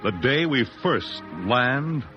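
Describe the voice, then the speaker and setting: Male vintage radio narrator, portentous, very slow with sweeping intonation, muffled broadcast over music. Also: medium pitch, expressive. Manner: dramatic, portentous. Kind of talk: 1950s scripted radio drama narration. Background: dramatic music.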